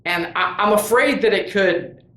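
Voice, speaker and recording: A man's voice: high-pitched, unhurried, fairly bright tone. Earnest, rambling man in an echoey room. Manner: rambling, earnest, with many restarts and "you know". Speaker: host of a tabletop gaming crafts channel. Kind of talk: casual opinion monologue to camera.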